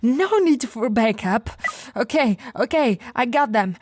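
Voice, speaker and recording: A woman voicing a flirty, no-nonsense female police officer in an ASMR roleplay, switching between firm orders and soft, teasing coaxing. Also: a little high, expressive, unhurried, dry recording, clean studio sound. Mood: commanding. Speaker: voice actress.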